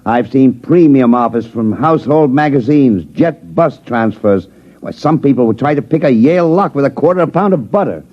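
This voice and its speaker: A sour veteran police chief, played by a man grumbles and speechifies with exasperated sarcasm. His pitch sits in a middle male range. It is 1950s radio acting on a dull old recording. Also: animated, normal speed, natural voice. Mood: exasperated, sardonic. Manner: grumbling, blustery, sardonic speechifying.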